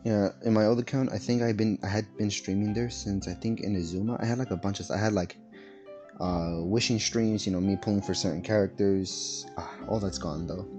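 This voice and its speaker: A man's voice: lowish voice, fairly even intonation, brisk, dark tone, soft background music. A man casually chatting while streaming a game, rambling with lots of fillers and self-corrections. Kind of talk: casual gaming stream chat. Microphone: laptop streaming setup.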